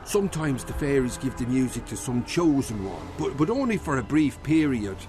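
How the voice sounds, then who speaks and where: A man's voice: medium pitch, big pitch swings, moderate pace, background noise, natural voice. A man playing an earnest, fatherly mentor in a radio drama, giving stern but kind advice.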